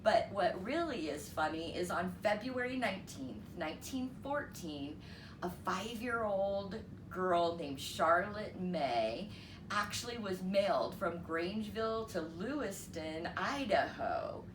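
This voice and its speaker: Unhurried woman reading aloud, roomy sound. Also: mid-range voice. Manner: unhurried, expressive, explains asides to young listeners. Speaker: storyteller reading a picture book. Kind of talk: read-aloud story narration. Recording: roomy, distant mic, noisy.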